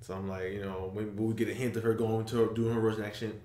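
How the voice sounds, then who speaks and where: A young man hosting a casual movie reaction chat, excitable and animated, sometimes trailing off into low mumbles, recorded on a webcam in a small room. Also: quick pace, reverberant. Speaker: reaction video host. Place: small room indoors, seated.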